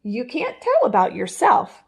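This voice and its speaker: Lady doing a know-it-all bunny from a kids book, smug advice, high lively voice. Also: measured pace, gravelly, slightly rough, full character voice.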